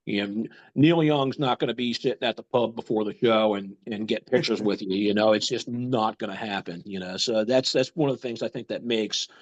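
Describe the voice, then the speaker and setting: A congested man with a gravelly, fry-laden voice tells concert stories on a casual podcast. His talk wanders, with lots of ums and you knows. The audio is somewhat dull and lo-fi. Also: lowish voice. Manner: chatty storyteller, many ums and you knows. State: congested, getting over a cold. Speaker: podcast host. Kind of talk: casual chat.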